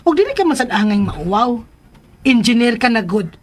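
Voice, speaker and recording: A woman's voice: on the high side, sing-song, moderate pace, a little hiss or hum. A woman with a Cebuano Filipino accent playing a fondly nagging middle-aged mother in a radio drama. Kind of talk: Philippine radio drama acting.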